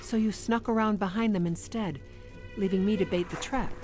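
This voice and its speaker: Formal, deadpan starship officer woman, acted, music behind. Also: medium pitch, moderate pace, lightly acted. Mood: reproachful. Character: precise, formal female starship commander, dryly exasperated. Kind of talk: audio drama acting.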